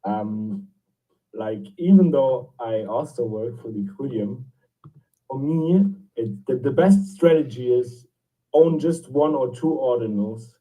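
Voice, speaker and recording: A man's voice: medium pitch, lively intonation, takes it slowly, mellow, distant mic, rough recording. A man from Scotland chatting casually, hesitant with many restarts as he tells a story. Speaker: guest from the lending team. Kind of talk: casual chat.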